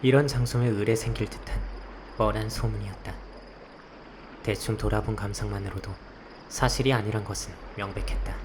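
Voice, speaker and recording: A man's voice: lowish voice, fairly even intonation, a little fast, noisy background, lightly acted. A man playing a guarded young investigator in a visual novel, narrating and talking in a subdued, hesitant way. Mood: subdued, pensive. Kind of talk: scripted first-person narration and dialogue. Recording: clear night insect ambience behind voice.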